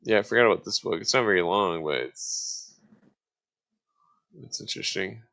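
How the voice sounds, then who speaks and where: A man's voice: deep voice, normal speed, sharp tone. A man hosting a livestream, chatting casually in a hesitant, chuckling manner. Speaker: livestream host.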